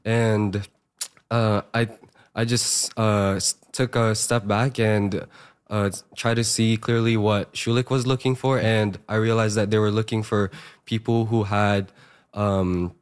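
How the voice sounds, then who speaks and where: A hoarse young man, a fresh high school graduate, talking earnestly with many fillers as a radio interview guest. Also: flat delivery, measured pace. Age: young adult.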